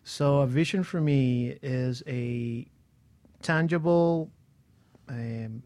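A man who works as a coach, co-hosting a talk radio show and speaking haltingly as he searches for his words. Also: medium pitch, a little mellow. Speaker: co-host, coach.

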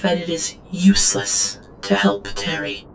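A woman's voice: lowish voice, very animated, a little slow, soft background music. A woman playing an obedient female servant drone in an audio drama, reporting in a stilted, clinical third-person manner. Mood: clinical, subdued. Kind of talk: scripted audio drama monologue.

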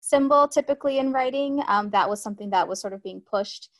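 Woman, panel guest, articulate, considered, mid-pitched voice. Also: brisk. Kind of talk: thoughtful discussion.

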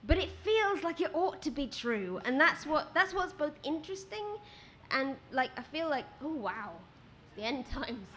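A woman with a quiet voice, a guest writer talking at a live event, rambling with many restarts. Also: sits high, very animated, moderate pace, a little hiss or hum. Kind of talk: conversational talk at a live event. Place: outdoor event with rain.

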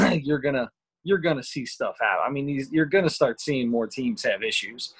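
A man's voice: medium pitch, animated, normal speed, fairly bright tone, slightly rough. A man co-hosting a casual sports podcast, upbeat and rambling, calling himself a positive thinker. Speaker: podcast co-host.

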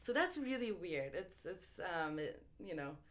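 A young woman from Iran with a fairly low voice talks things through in a relaxed interview. She pauses often on "um" and "like" as she feels her way to the point, and she laughs easily. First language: Farsi. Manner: thoughtful, frequent ums and likes, laughs easily. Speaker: interview guest. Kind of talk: casual conversational interview.

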